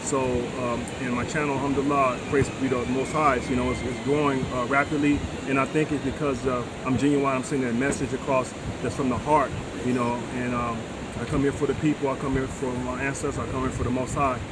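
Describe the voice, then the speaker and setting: A man's voice: mid-range voice, level tone, a little fast. A middle-aged American man talking earnestly and casually as an interview guest, often adding conversational tags. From United States. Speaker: vlogger and retired military veteran, interview guest. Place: open-air beachside deck. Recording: loud wind and ambient outdoor noise.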